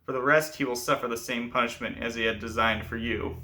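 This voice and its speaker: Male narrator as fairy-tale witch: knowing, authoritative, assured, with a bright, forceful tone. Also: mid-range voice, fairly even intonation, brisk, a little hiss or hum, low-quality recording.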